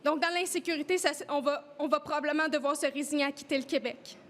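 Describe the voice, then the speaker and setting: High-voiced Québécoise giving testimony. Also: female voice, steady tone, fast talker, fairly bright tone. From Quebec. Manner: emotional, earnest. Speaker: press conference speaker. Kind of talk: personal testimony at a press conference.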